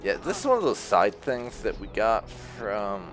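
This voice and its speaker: A man's voice: lowish voice, sing-song, a little slow, loud music behind the voice. A man giving casual playthrough commentary, rambling a little as he thinks aloud. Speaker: gaming video host.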